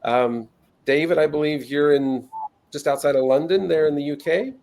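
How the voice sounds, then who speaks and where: A man's voice: a little high, normal speed, a little mellow. A man hosting an online interview in a friendly, loose way, with many fillers. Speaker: host.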